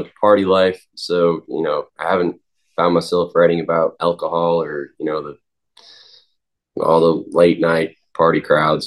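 Young man, deep low voice, hesitant, lots of ums, casual interview talk. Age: 20s. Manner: halting, many ums and restarts. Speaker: singer-songwriter guest.

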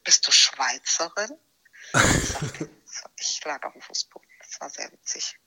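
A middle-aged woman in a relaxed chat at home, telling stories and often trailing off into quiet asides. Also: low-pitched, measured pace, vocal fry, background noise. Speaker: interviewee. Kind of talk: casual chat.

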